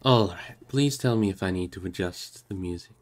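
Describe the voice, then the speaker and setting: A man with a fairly low voice reads aloud as a livestream host. His delivery shifts between audiobook-style narration and casual, informal talk to his listeners. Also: normal speed. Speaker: streamer reading a book aloud.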